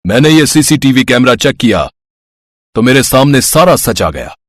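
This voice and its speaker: Guy doing wealthy boss in a cartoon, earnest, husky, lively pitch. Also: moderate pace, no room sound, clean studio sound. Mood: insistent. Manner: earnest, apologetic. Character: rich, remorseful middle-aged employer.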